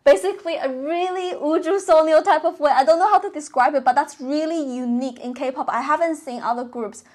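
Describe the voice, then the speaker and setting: A young woman giving casual, enthusiastic and expressive reaction commentary to camera, recorded indoors on a clip-on mic. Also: high-pitched, brisk. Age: young adult. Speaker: reaction vlogger. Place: bedroom, indoors. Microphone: clip-on lapel mic.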